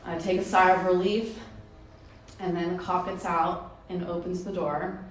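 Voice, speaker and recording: Brief: woman storyteller, low-pitched, very echoey hall, distant mic. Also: little pitch movement, moderate pace. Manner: casual, conversational, laughing at herself. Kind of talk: live storytelling on stage. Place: live event hall. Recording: very echoey room, mic from far off, faint noise.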